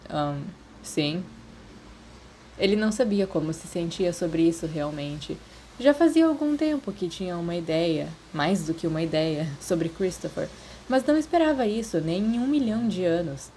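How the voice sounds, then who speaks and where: A woman's voice: on the low side, sing-song, moderate pace, warm tone, a little hiss or hum, rough recording. A woman with a Brazilian accent reading scripted fiction as an audiobook narrator.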